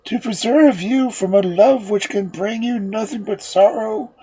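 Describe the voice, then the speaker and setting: A storyteller voicing a dying old father, a solemn magician giving slow, halting, tearful counsel in a fairy tale. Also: medium pitch, warm tone, breathy edge, voice pushed up, rough recording. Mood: frail, solemn.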